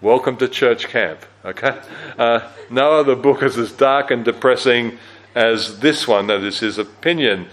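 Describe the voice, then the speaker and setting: A man with a low-register voice leads a church camp Bible study in a relaxed, conversational way. He fills pauses with "uh" and corrects himself as he goes. His pitch sits in the middle range. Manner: conversational, with frequent fillers and self-corrections. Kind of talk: teaching a Bible study talk.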